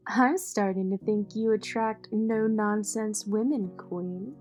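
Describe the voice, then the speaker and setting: A woman's voice: mid-range voice, takes it slowly, darkish tone, lightly acted. A woman acting a loyal, no-nonsense ally of a vigilante in an audio drama. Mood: wry, loyal. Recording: faint music behind the voice.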